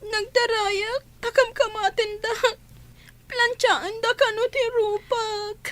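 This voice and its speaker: A woman playing a frightened young schoolgirl who pleads haltingly and respectfully in an Ilocano radio drama. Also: extremely high pitch, steady tone, a bit bright, full character voice. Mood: tearful, frightened.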